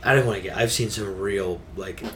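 A man's voice: on the low side, a little fast, a crowd in the background. A man chatting informally and in slang as co-host of a casual gaming podcast.

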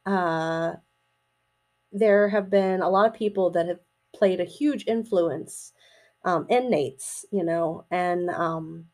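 A middle-aged woman with a slightly raspy voice hosts a personal podcast, speaking casually in a hesitant, reflective, halting way. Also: on the low side, a little mellow. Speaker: podcast host.

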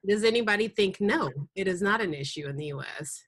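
A woman's voice: medium pitch, animated, fairly quick. Woman hosting a podcast. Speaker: podcast host. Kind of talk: moderating a group discussion.

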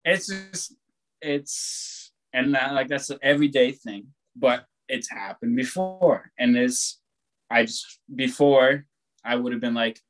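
A young man chatting casually, rambling and laid-back, who swears freely and talks loud. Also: medium pitch, lively intonation, takes it slowly, rough recording. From born on the West Coast, raised on the East Coast of the US. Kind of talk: casual chat.

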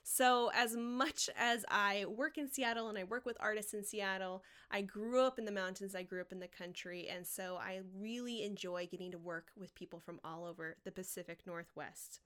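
A woman's voice: medium pitch, fairly even intonation, normal speed, hardly any pauses, fairly bright tone, clean tone. An American woman hosting a solo podcast, speaking straight to her listeners with enthusiasm and encouragement as she tells personal stories. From Washington state, United States. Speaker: podcast host and marketing coach.

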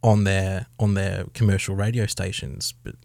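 A man's voice: deep voice, flat delivery, moderate pace, creaky, a little mellow, no echo. An Australian man co-hosting a radio show, talking conversationally and measuredly in long, winding, qualified sentences on a close studio mic. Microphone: close studio radio microphone.

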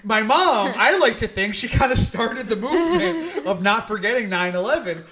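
A man's voice: extremely high pitch, sing-song, moderate pace, over a noisy crowd. A man hosting a casual comedy podcast, rambling through stories and laughing at his own jokes. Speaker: podcast host.

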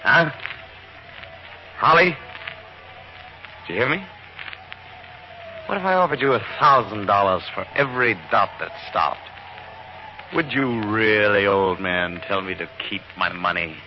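An actor playing a charming, cynical man whose breezy charm turns quietly menacing, in a 1950s radio drama. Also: on the low side, unhurried, long pauses, a little hiss or hum. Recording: muffled old radio broadcast with music.

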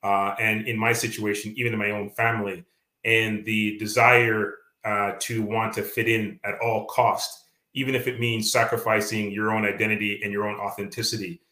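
A man's voice: on the low side, little pitch movement, moderate pace, bright, forceful tone, roomy sound. A Canadian man with a Canadian accent, an author speaking earnestly in an interview, with many fillers and restarts. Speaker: author guest. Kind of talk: reflective interview talk.

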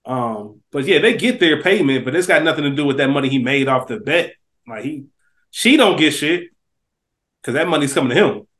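A man co-hosting a podcast, reasoning out loud in a quick, animated way about a TV show. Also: a little high. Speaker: podcast co-host. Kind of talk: casual TV recap chat.

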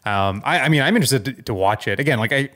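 Guy on a podcast, medium pitch, casual and kinda tentative giving his takes. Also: animated, fast, fairly bright tone. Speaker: podcast co-host. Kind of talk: casual chat.

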